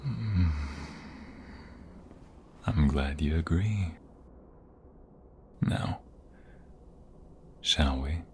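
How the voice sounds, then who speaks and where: A man acting a tender, protective boyfriend in an intimate ASMR roleplay, speaking softly and soothingly, often close to a whisper. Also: rumbling low, very slow, long pauses, slight background noise, exaggerated voice. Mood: tender, playful. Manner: soft, soothing, often breathy near-whisper. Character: tender, devoted boyfriend, a gentle protective lover.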